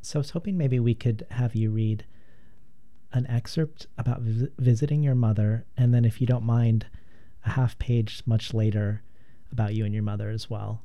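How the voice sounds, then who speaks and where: A man interviewing in a polite, tentative and measured manner, in a literary conversation. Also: medium pitch, steady tone, dark tone, dull audio.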